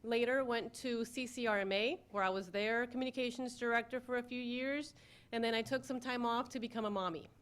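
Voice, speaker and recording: A woman's voice: fairly high pitch, steady tone, brisk, a little hiss or hum. A middle-aged woman from Ecuador and Florida, giving a self-introduction speech at a public meeting. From born in Ecuador, raised in Florida. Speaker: newly hired city communications director, former newscaster. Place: city commission meeting room.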